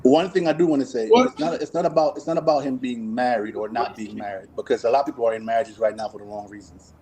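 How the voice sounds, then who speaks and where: A man's voice: medium pitch, quick pace, a little mellow, slight background noise, poor audio. A man co-hosting a podcast, arguing emphatically and often repeating himself. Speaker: podcast co-host. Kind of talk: casual podcast debate.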